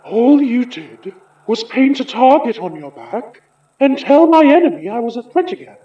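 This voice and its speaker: A malevolent female spirit with a high voice that swoops theatrically. She speaks with slow, condescending courtesy and taunts her prey. The sound is distant and echoey, as in a horror audio drama. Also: sing-song, dull audio. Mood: mocking. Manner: theatrical, taunting, polite menace. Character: possessing spirit, a polished, menacing, condescending sorceress.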